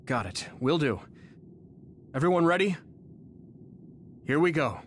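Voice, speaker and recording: A man's voice: fairly high pitch, sing-song, unhurried, a little hiss or hum. An actor playing an earnest, determined young male soldier. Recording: game cutscene with music behind.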